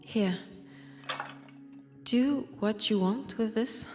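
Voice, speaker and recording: A woman's voice: fairly high pitch, drawn-out words, a little mellow, faint music behind. A woman with a French accent playing a wistful, wry centuries-old vampire in a convent, in an intimate audio roleplay, hesitant and sighing. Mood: tender, nostalgic. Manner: hesitant, sighing, drifting into near-whispers. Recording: long church-like reverb.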